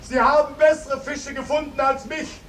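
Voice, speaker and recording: High-voiced, formally courteous soldier Simon. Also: male voice, little pitch movement, normal speed, fairly bright tone. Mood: courteous, teasing. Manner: stiffly polite, speaks in third person. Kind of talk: 1950s German radio drama acting. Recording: roomy, distant 1950s radio recording, faint hiss.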